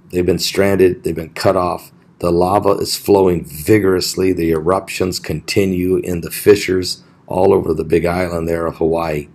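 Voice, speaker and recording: A man hosting a live online show, giving casual news commentary in a raspy voice that he keeps low, with many hesitant fillers. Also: a little mellow.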